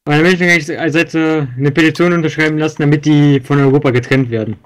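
A man's voice: fairly high pitch, fairly even intonation, fast talker, clean tone. A man from Düsseldorf chatting casually while playing a game, joking and often trailing off mid-sentence. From Germany, Düsseldorf. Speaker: let's play host. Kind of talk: casual gaming chat.

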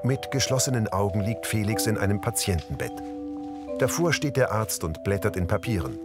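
A man reading audio description for a TV series in a neutral, measured way. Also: lowish voice, expressive, loud music behind the voice.

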